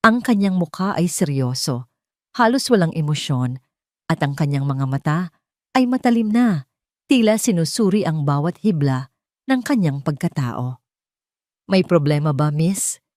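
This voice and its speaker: A woman's voice: low voice, very animated, moderate pace, no echo, clean studio sound. A woman reading a scripted story as a dramatic storyteller. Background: soft music.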